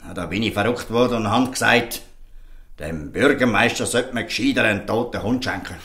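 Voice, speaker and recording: A man's voice: fairly low pitch, brisk, a bit bright, natural voice. An actor playing an earnest, defiant fisherman in a Swiss German audio drama, speaking emphatically and pleadingly. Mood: earnest, firm. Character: earnest, defiant fisherman defending outcast children. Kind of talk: audio drama dialogue in dialect.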